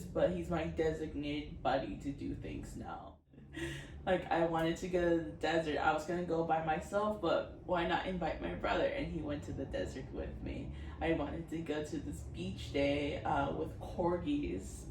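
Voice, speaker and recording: Low-voiced giggly woman in her twenties. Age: 20s. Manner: rambling, giggly, lots of "like". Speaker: vlogger. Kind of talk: casual chat. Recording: roomy, distant mic, background noise.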